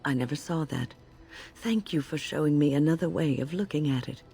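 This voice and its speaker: Thoughtful, haunted female mage for a fantasy game: dark, soft tone with fry, solemn and measured. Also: low-pitched, faint music behind. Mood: grateful. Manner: measured, grave, reflective. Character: earnest, thoughtful woman mage haunted by fear of possession. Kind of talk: video game dialogue acting.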